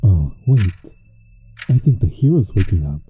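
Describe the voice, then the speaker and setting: A man playing a supervillain who gently cares for his hero nemesis in an ASMR audio roleplay, soft-spoken, dryly teasing and reassuring. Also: deep voice, big pitch swings, moderate pace, highs cut off, faint music behind. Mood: wry, uneasy.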